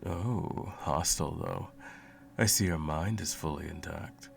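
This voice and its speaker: A man playing a sadistic sorcerer in an ASMR roleplay, cooing mockingly and condescendingly at a captive pet in a low gravelly murmur. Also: measured pace, full character voice. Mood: smug, teasing. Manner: teasing, cooing, condescending, theatrical.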